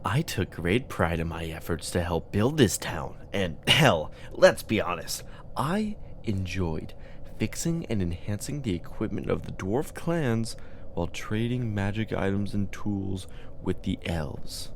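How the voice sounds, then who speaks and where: An actor playing a bitter, vengeful undead man, delivering a halting, coldly menacing monologue in an audiobook drama. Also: sits low, animated, slight background noise. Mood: bitter anger. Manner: deliberate, halting, seething villain monologue.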